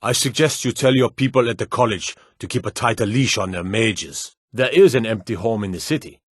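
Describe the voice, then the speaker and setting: Low-voiced, stern fantasy lord. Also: male voice, breathy edge, dry recording, clean studio sound. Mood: formal. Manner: formal, measured, authoritative. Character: stern, dutiful male fantasy lord and soldier. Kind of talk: video game character dialogue.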